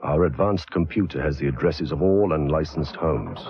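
A man's voice: a bass voice, normal speed. A man reading an ominous scripted announcement as an advert voice-over on an old soundtrack. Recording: dull old soundtrack, very noisy.

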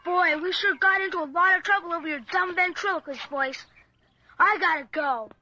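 A woman's voice: extremely high pitch, normal speed, sharp tone, no echo, muffled recording, full character voice. A woman playing a jittery, slangy young child in a radio drama, childlike and exclamatory. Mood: anxious.

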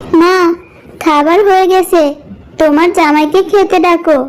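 A woman playing a young village wife and affectionate daughter in a cartoon folk tale, girlish and expressive. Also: extremely high pitch, unhurried. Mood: dutiful.

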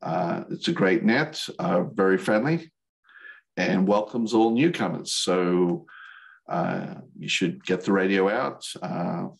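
An older man with an American accent giving an informal slide presentation in a hesitant, halting way. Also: low-pitched, animated, vocal fry. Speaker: volunteer instructor, radio operator. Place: video call.

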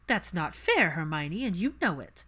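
A woman's voice: fairly low pitch, very animated, moderate pace, a bit bright, breathy edge, heavily acted. A woman reading a storybook as a pragmatic, unyielding mother who lectures insistently. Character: pragmatic, set-in-her-ways older mother, critical and unyielding.

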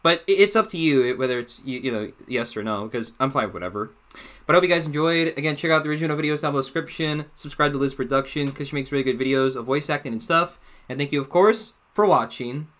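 A man's voice: on the high side, fast, fairly bright tone. A young man giving casual reaction commentary in a rambling way, often repeating and rephrasing himself, recorded in a small room at home. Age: young adult. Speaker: reaction video host.

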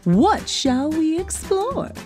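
Fairy godmother for a picture book, played by a woman: kindly, guiding, lilting, unhurried. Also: sits high, big pitch swings, soft background music, pitched above the performer's own voice, exaggerated voice. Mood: encouraging. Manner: bright encouragement, guiding.

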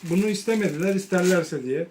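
A young woman with a fairly low voice chats casually at home, quizzing and teasing a child as she presents things for the camera. Faint background noise and small clicks sit behind her. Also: a little fast, a little mellow. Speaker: family vlogger showing school supplies.

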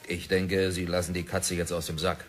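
A man's voice: sits low, little pitch movement, moderate pace, soft background music, close to an everyday voice. An actor playing a stubborn, obsessed male diamond prospector in an old radio play, self-assured and sardonic. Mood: defiant. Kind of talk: 1960s radio drama acting.

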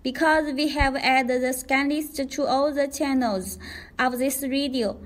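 A woman reading a scripted product tutorial in a careful, deliberate way as a demonstrator. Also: sits high, slight background noise.

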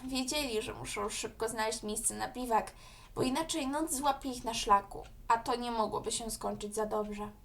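A woman's voice: a little high, moderate pace, a bit bright. A woman reading scripted narration as the storyteller of a children's audio story.

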